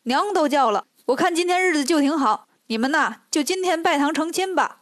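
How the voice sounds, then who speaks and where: A woman voicing a cheeky, sardonic male monkey disciple in a storybook tale, brisk, teasing and dismissive. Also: high voice, expressive, a bit bright, no echo, studio quality.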